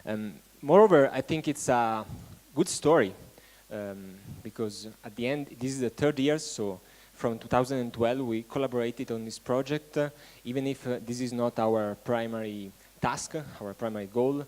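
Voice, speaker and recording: Man, event host, welcoming speech, halting delivery, mid pitch. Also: a little mellow. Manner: halting, restarts phrases often.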